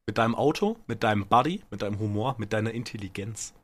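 A man's voice: fairly low pitch, quick pace, fairly bright tone, no room sound. A young man chatting casually as a podcast co-host, with slangy banter. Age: young adult.

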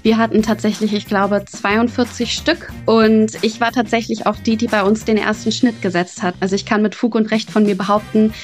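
A woman's voice: mid-range voice, level tone, normal speed, few pauses, soft background music. A woman, a podcast guest, casually telling a personal anecdote.

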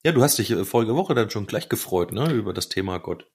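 Lower-voiced man in casual chat. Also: expressive, quick pace, darkish tone, husky. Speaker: podcast co-host.

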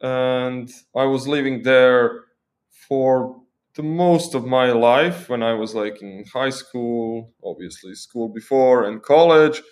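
A man's voice: mid-range voice, slow. A young man from Croatia with a Croatian accent, a guest talking casually and thoughtfully in an interview, often restarting his phrases. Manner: thoughtful, with frequent restarts and fillers.

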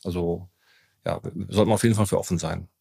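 A man's voice: low-pitched, fairly even intonation, fast, creaky, warm tone, slightly rough. A man in his fifties chatting casually as an interview guest, colloquial, with loose, broken-off sentences. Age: 50s. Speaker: interview guest, company founder. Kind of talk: casual chat.

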